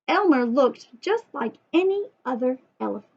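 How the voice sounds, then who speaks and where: A woman reading a story aloud to young children in an expressive, sing-song way, adding chatty asides and questions to the listeners. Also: high voice, moderate pace, creaky, breathy edge, muffled recording.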